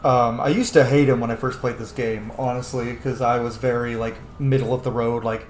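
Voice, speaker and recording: A man's voice: medium pitch, fairly even intonation, fairly quick. A man giving casual, opinionated game commentary as he plays, swearing freely. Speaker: gaming streamer playing and commenting. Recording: roomy echo with faint noise.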